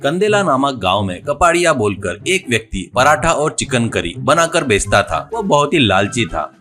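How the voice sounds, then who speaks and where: A man's voice: medium pitch, very animated, moderate pace, sharp tone, soft background music. A man telling a scripted folk-style moral story as narrator.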